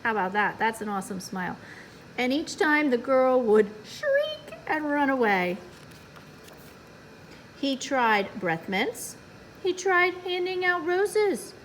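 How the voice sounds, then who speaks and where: A woman's voice: sits high, very animated, slow pace, a bit bright, slight background noise, rough recording. A woman reading a picture book aloud for young children as a storytime host, playfully adding little asides to her listeners.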